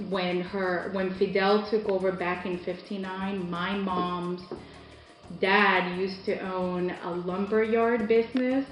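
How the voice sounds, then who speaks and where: Low-voiced woman in a roomy recording. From Cuba. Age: about 40. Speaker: interviewee. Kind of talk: personal interview, recounting life story. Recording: roomy echo, music behind.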